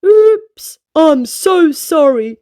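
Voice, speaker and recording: A clumsy storybook strongman played by a woman, high in pitch and very animated. He exclaims his apologies in an unhurried way, forever sorry for his own strength. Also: full character voice. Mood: sheepish, apologetic.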